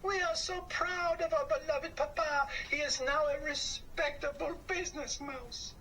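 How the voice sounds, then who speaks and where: A chorus of small storybook mice, gushing and adoring, done by a man. The voice is squeaky and very high, bright and lively. It sits at a distance with a faint hiss behind. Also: a little slow, heavily acted. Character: excited little mouse children.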